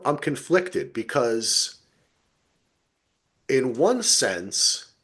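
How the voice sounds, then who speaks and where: A man with an American accent hosting a casual TV discussion, sweary and quick to act out imagined dialogue. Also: medium pitch. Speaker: podcast host. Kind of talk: casual chat about television.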